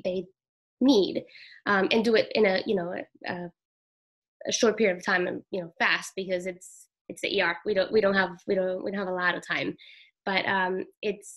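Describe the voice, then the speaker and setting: Woman, middle-aged, from Peru, nurse, halting, soft-spoken, medium pitch. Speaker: emergency room nurse. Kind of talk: interview, personal account.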